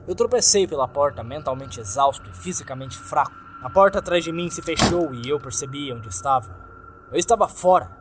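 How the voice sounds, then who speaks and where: A man's voice: fairly high pitch, background noise. A man with a Brazilian Portuguese accent narrating a first-person horror story in a dramatic scripted style. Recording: faint music under the voice. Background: soft background music.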